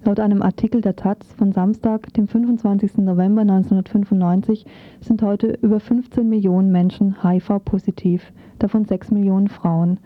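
A woman's voice: medium pitch, fairly even intonation, normal speed. Woman presenter reading a radio report, muffled tinny phone-like sound, no room echo. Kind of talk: scripted radio feature narration.

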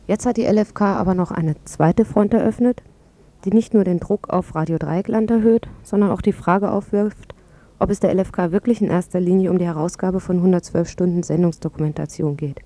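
Mellow-voiced woman presenting radio news. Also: lowish voice. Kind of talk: scripted news commentary, read out.

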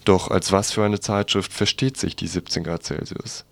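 Low-voiced man reading a radio review. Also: fairly even intonation, normal speed, fairly bright tone. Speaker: radio presenter reviewing a magazine. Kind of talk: scripted radio review narration.

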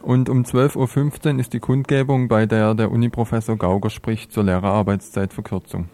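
A man's voice: on the low side, fairly even intonation, fairly quick, mellow. A man hosting a radio news show, presenting live and informally.

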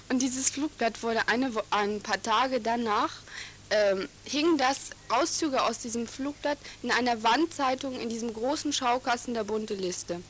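A teenage girl talking in a radio interview as a student activist, halting with many fillers and restarts. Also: a little high, a bit bright, slight background noise.

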